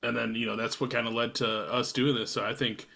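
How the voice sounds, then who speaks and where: A man's voice: mid-range voice, flat delivery, fast, vocal fry, sharp tone. A man hosting a casual podcast chat, rambling a little and laughing along with his guests. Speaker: podcast host. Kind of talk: casual chat over beers.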